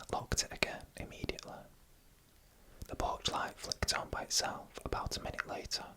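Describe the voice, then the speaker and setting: Whispered ASMR horror storyteller. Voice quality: soft unvoiced whisper. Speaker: narrator.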